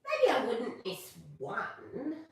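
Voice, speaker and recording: A woman voicing a rainbow fish in a children's storybook, with a singsong, drawn-out delivery. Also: high-pitched, wildly swooping pitch, heavily acted. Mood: wavering, musing. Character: proud rainbow fish softening into cheerful generosity. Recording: very echoey room, distant from mic.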